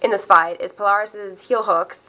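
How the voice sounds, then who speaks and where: A chatty, upbeat woman from South Africa whose accent drifts between South African and American. Her voice is bright and forward, and she talks casually to camera, often breaking off her own sentences. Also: mid-range voice, steady tone, normal speed, faint music behind, rough recording. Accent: mixed South African and American. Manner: chatty, upbeat, self-interrupting. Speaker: MMA video host. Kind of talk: casual fight predictions to camera.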